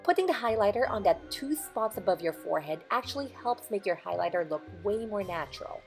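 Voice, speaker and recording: A young woman from Indonesia with a hoarse voice, talking through a makeup tutorial step by step in a chatty, instructive voiceover. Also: medium pitch, sing-song, a little fast, loud music behind the voice. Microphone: close voiceover mic.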